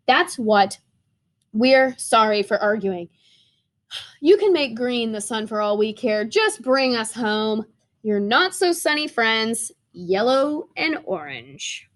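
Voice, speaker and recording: A woman's voice: fairly high pitch, lively intonation, slow pace. A woman voicing a sheepish pair of melted storybook crayons, emphatic and plaintive. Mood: sheepish, pleading.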